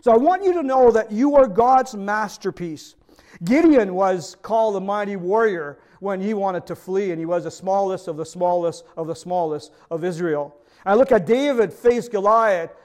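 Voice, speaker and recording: High-voiced French-accented man preaching. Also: darkish tone. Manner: earnest, preaches with repeated rhetorical questions to the congregation. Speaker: pastor. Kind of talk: sermon.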